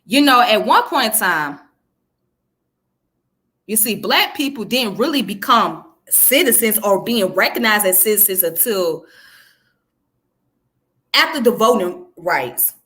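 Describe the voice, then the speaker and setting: A woman's voice: mid-range voice, lively intonation, a little slow, pauses often, a bit bright. Lady doing a chatty history explainer, keeps checking you're following. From southern United States, Georgia. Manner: conversational, explanatory, often checks with listener using "right?" and "okay?". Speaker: history presenter. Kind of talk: informal educational commentary.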